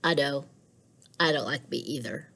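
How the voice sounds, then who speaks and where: A woman reading the part of a thoughtful, stubborn young boy in a storybook, in a deliberate way. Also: a bass voice, vocal fry, pushed and bright, voice pushed down, heavily acted. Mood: glum.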